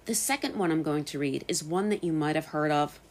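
A woman with an American accent hosting a podcast, reading scripted narration in careful, clear phrases. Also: deep voice, a little fast, soft background music. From United States. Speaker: podcast host and storyteller.

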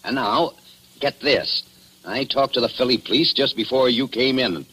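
An actor playing a gruff, suspicious and confrontational small-town official in old radio drama style. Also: male voice, mid-range voice, moderate pace, pushed and bright, lightly acted. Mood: irritated, suspicious. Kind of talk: 1940s radio drama acting. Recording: noisy old radio broadcast recording.

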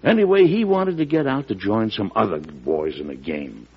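An actor with an American accent playing a shrewd, genial, avuncular president in an old-time radio drama, in a deliberate storytelling manner. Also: male voice, on the low side, very animated, slightly rough, full character voice. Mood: wry, confiding. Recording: dull lo-fi vintage radio broadcast.

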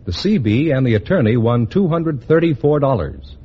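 A man's voice: medium pitch, animated, normal speed, husky. An American man working as a radio show announcer and sidekick, with polished delivery. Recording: muffled old radio broadcast, faint audience.

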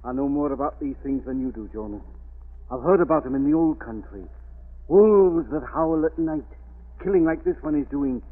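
A man's voice: a little high, lively intonation, moderate pace. Superstitious Scotsman, tinny old radio. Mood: grave dread. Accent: Scottish. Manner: grave, foreboding. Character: superstitious, fearful Scottish estate worker. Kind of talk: 1940s radio drama acting.

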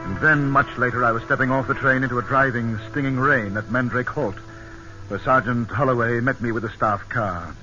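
An actor playing a brisk, thoughtful British army colonel in a radio drama, narrating and talking in a British accent. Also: male voice, medium pitch, steady tone, sharp tone, muffled recording, faint music behind, natural voice. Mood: brooding. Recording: noisy, band-limited old radio broadcast.

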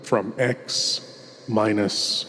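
A man playing a theatrical horror host, eerie, lofty and intimate, with grandiose delivery and dramatic pauses. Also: on the low side, fairly even intonation, pushed and bright, full character voice. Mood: solemn brooding. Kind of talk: theatrical scripted horror introduction. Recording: long cavernous hall reverb.

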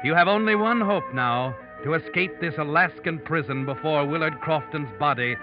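Man acting as knowing, ominous storyteller, old-time radio drama, second-person narration, slightly high male voice, deliberate, taunting, music behind. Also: close to an everyday voice. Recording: dull vintage radio recording, music behind.

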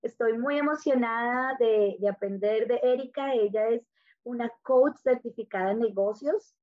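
Female interview host, higher-pitched voice, conversational delivery, thin phone-line audio. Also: moderate pace.

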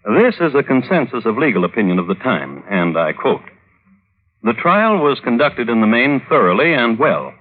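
Low, husky, wry male radio narrator. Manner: deliberate, mock-solemn. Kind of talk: wry scripted old-time radio narration. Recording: muffled old radio recording.

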